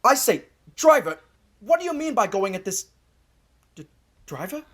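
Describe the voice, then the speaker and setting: A man's voice: sits high, big pitch swings, normal speed, background noise. A man playing a fussy, polite lawyer's clerk in a radio drama, formal and eager to please. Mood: fussy, impatient.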